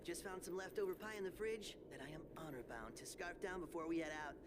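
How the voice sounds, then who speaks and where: Playful young turtle, surfer slang, lively pitch, video game cutscene. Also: male voice, quick pace, background noise. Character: goofy young male mutant turtle, laid-back, pizza-obsessed. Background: game music.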